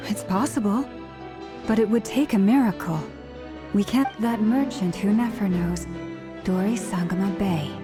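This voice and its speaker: With music clearly behind her, a woman acts a young video game heroine at a medium pitch. She sounds earnest, concerned and determined. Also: normal speed, natural voice.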